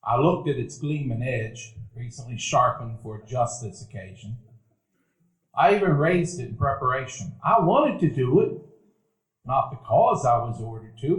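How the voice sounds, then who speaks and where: A man narrating a stage radio play as a grown man recalling his boyhood, in a measured, reflective telling. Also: mid-range voice, sing-song, natural voice. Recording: roomy event hall.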